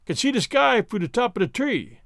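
Man voicing a high, folksy old servant. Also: sing-song, brisk, creaky, fairly bright tone, dry recording, clean studio sound, heavily acted. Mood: calling out. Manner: dialect speech, dropped words, exclaiming protests. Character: old male servant, fearful but stubborn, folksy. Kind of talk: audiobook character voice.

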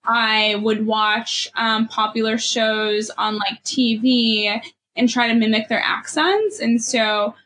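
A woman's voice: fairly high pitch, steady tone, takes it slowly, a bit bright, poor audio. A young adult woman from Ethiopia with a California Valley girl accent, reflecting candidly as a guest and filling her talk with "like" and "you know". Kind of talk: candid personal conversation.

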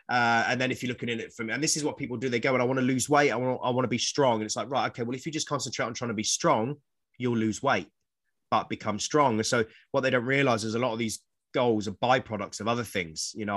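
A man's voice: mid-range voice, fairly bright tone. A man in his forties, a fitness trainer chatting casually and rambling, repeating words as he explains. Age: 40s. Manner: rambling, repeats words, acts out quoted voices. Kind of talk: casual podcast chat.

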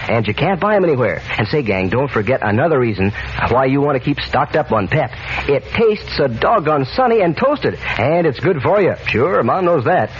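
An American man delivering a chummy, enthusiastic 1940s radio ad pitch aimed at children. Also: a little hiss or hum. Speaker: announcer and sponsor pitchman. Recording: muffled vintage radio recording, music behind.

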